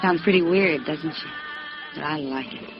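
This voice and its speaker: A woman's voice: deep voice, moderate pace, music in the background. A woman playing a flirtatious, probing woman in a 1950s radio noir drama, coy and teasing. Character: flirtatious, probing woman with a sly, worldly air. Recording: dull old radio, background crowd sound.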